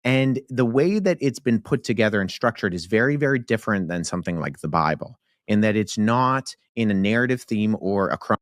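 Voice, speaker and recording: A man as a podcast guest, explaining things in a measured way. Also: on the low side, expressive, no echo, studio quality. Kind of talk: podcast interview.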